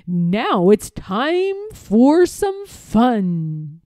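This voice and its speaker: A woman's voice: on the high side, sing-song, drawn-out words, warm tone, no room sound, clean studio sound, heavily acted. A woman voicing a hardworking, playful king from a children's storybook, speaking emphatically. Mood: weary, pleased.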